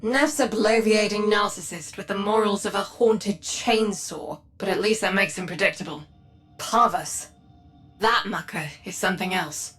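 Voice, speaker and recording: A woman's voice: mid-range voice, normal speed, fairly bright tone, faint music behind, natural voice. An actress playing a tough, blunt resistance leader in a video game, dry and resolute in her delivery. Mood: scornful, wry. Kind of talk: scripted video game dialogue.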